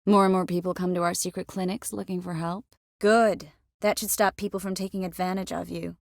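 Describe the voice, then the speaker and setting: A woman voicing a witty, caring, self-assured young sorceress in a video game, often dropping to soft, intimate murmurs. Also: fairly low pitch, flat delivery, a little fast, creaky, dry recording, clean studio sound. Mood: wry, confident. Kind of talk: scripted game character dialogue. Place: close studio recording.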